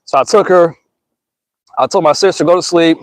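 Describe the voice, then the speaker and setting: A man's voice: fairly high pitch, normal speed, no room sound. A man in his thirties musing in a casual, slangy monologue, earnest and rambling with restarts, calling listeners y'all and bro. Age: 30s. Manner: rambling with frequent restarts and stammers.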